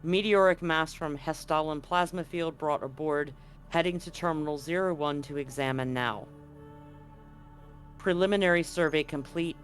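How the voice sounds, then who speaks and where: A man with a slightly high voice works as game master, narrating and reading out in-game documents. Music plays behind him as he guides the tabletop session. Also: normal speed, fairly bright tone.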